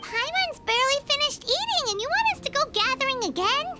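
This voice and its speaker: A woman voicing a tiny, cheeky, childlike fairy companion in a video game, with a squeaky, exclamatory delivery. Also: sing-song, a little fast, loud music behind the voice. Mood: chirpy, whiny. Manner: speaks of herself in third person, exclamatory. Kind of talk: cartoonish video game voice acting.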